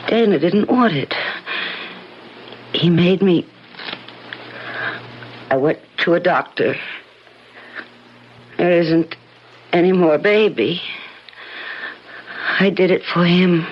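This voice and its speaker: A woman acting a fragile, confused, childlike character in a radio drama, speaking in halting, disjointed phrases. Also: lowish voice. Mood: lost, pleading. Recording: muffled, noisy old radio broadcast.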